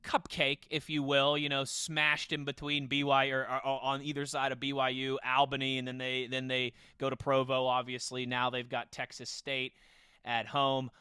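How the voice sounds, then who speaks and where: A man's voice: medium pitch, completely flat delivery, normal speed, bright, forceful tone, clear, resonant tone, dry recording, clean studio sound. A man hosting a casual football podcast in a conversational way, steering the discussion. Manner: conversational, steers questions to co-hosts. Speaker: podcast host.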